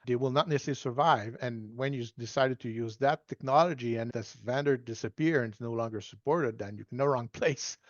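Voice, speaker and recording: A man in his sixties with a French Canadian accent, a native French speaker, giving measured, self-deprecating answers as an interview guest. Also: medium pitch, no room sound, dull audio. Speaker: guest data management consultant. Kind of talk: relaxed explanatory interview answers.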